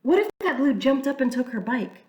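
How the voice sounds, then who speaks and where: A woman reading a children's picture book aloud in an expressive, storytelling way. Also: high-pitched, moderate pace. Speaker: church reader. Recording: echoey room, mic far off.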